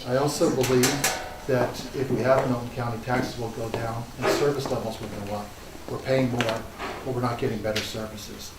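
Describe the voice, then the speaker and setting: Soft-spoken man candidate, roomy hall. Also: medium pitch, fairly even intonation, normal speed, hardly any pauses. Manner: assertive, soft-spoken, listing points. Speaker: political candidate. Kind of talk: candidate forum opening statement. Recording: roomy hall, distant mic, noisy.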